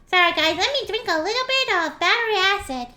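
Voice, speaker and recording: A woman streamer with a pitch-raised squeaky voice filter, casually chatting and reading a story aloud, often clearing a scratchy throat. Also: lively intonation, fast, bright, forceful tone. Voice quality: squeaky voice from a slime avatar filter. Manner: giggly, reads story text aloud with voiced quotes.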